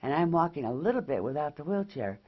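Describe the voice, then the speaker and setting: A woman's voice: deep voice, big pitch swings, fast talker, dull audio. A woman giving a casual, unscripted update as a patient. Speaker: patient reporting recovery.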